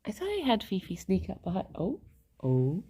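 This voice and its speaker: A woman's voice: medium pitch, huge pitch swings, normal speed, warm tone, slightly rough. Lady streamer, bunged up nose, giggly chatty morning stream vibe. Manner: chatty, giggly, greets viewers by name. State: stuffed-up nose. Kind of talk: casual chat with live chat.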